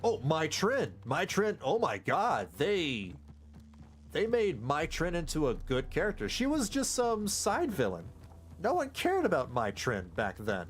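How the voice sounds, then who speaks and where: A man streaming a game, rambling casually with excited outbursts and stammering restarts. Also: fairly high pitch, unhurried. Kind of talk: casual live gameplay commentary.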